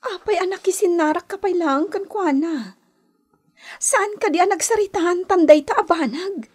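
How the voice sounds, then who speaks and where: A woman's voice: extremely high pitch, moderate pace, a little mellow. A woman acting a scheming young woman in a radio drama, with a Filipino accent. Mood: jealous, possessive. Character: scheming young woman secretly seeing her best friend's boyfriend.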